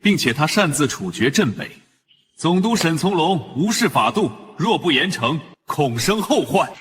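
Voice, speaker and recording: A man playing a stern, accusing court official in an animated palace drama, formal and declamatory. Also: fairly high pitch, moderate pace. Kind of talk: Chinese animated web drama voice acting. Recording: long hall-like reverb.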